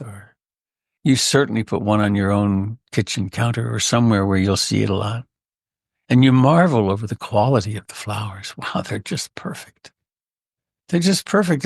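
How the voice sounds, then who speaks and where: Creaky-voiced man guiding a meditation. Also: on the low side, no room sound, studio quality. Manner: gentle, unhurried, with long pauses. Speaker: meditation teacher. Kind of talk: guided meditation.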